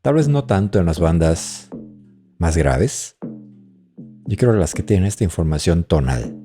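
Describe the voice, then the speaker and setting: A man giving an informal, explanatory software tutorial on audio mixing, thinking aloud as he demonstrates. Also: expressive, unhurried, soft background music. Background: drum and bass tracks playing during demonstration.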